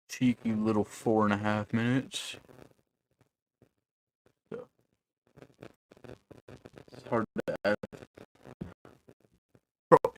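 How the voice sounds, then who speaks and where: A man's voice: fairly low pitch, a little hiss or hum, rough recording. A young man producing music on a livestream, chatting loosely with his viewers in a rambling, casual way. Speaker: music producer streaming live.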